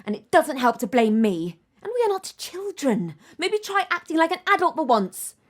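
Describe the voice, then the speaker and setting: A headstrong teenage daughter in an audio play. Her high voice moves widely up and down as she argues with emphatic defiance. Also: very animated, normal speed. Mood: defiant. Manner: argumentative, emphatic.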